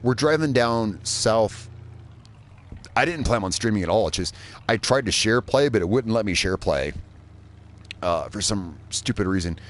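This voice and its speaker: Casting note: rambling male game streamer from Indiana, lower voice, loose and profane. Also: expressive, moderate pace, pauses often. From Indiana, USA. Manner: rambling, chatty, swears freely. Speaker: gaming streamer. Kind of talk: casual live gameplay commentary.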